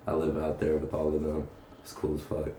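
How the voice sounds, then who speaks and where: A young man from North Carolina chatting casually as an interviewed rapper, slangy, profane and halting. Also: rumbling low, completely flat delivery, dark tone. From North Carolina, United States. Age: young adult. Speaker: punk rapper being interviewed. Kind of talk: casual chat. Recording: roomy echo, faint background noise.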